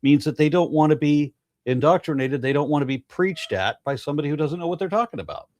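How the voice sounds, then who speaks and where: A man hosting a casual panel discussion, halting and repeating words as he thinks. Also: on the high side, darkish tone, no echo. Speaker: host.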